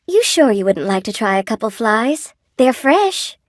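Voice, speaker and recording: An actress voicing a gentle, lonely spider-woman shopkeeper in a video game, polite and sweetly attentive, with old-fashioned genteel phrasing. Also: a little high, very animated, unhurried, dry recording, studio quality. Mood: warm, welcoming. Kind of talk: video game character voice acting.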